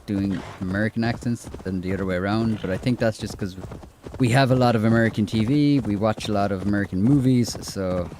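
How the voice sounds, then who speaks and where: A man's voice: on the low side, brisk, a little mellow. Irish man chatting over gameplay. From Ireland. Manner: chatty, rambling, lots of "like" fillers. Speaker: gaming streamer. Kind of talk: casual gameplay chat with viewers. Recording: noisy gameplay audio with horse sounds.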